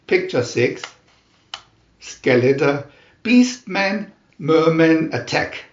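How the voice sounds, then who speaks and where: A man reading a storybook aloud as dramatic narration, in a theatrical, deliberate manner with emphatic announcements. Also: fairly high pitch, expressive, echoey room, dull audio. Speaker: reader of picture-reel story booklets.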